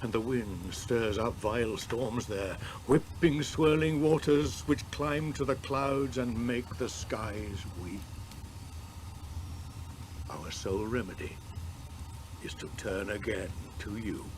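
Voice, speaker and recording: An actor voicing a solemn, haunted old king in grief, speaking in a hushed, grave, dramatic way for an epic storybook reading. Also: mid-range voice, slow pace, lightly acted. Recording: noisy old cassette transfer.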